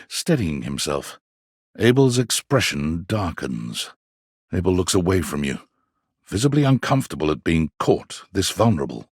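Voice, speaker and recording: A man reading scripted second-person narration for a video game in a theatrical, expressive storytelling manner. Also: fairly low pitch, slightly rough, no echo, studio quality.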